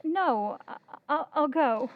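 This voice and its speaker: Radio drama: woman doing a proud, demanding elder sister, high, lively pitch. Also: takes it slowly, narrow, tinny audio, heavily acted. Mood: anxious. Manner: indignant, demanding, emotional. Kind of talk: old-time radio drama recreation.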